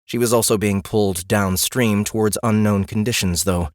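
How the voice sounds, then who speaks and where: A man's voice: on the low side, steady tone, moderate pace, no room sound. A man reading scripted fantasy narration as an audiobook narrator, close on a studio mic.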